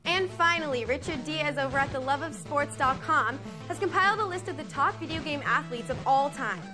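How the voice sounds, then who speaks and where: A woman's voice: high-pitched, expressive, a little fast, music in the background. A young woman in her twenties hosting a sports news show, reading a script with sarcastic, mocking asides from a studio on a lapel mic. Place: television studio set.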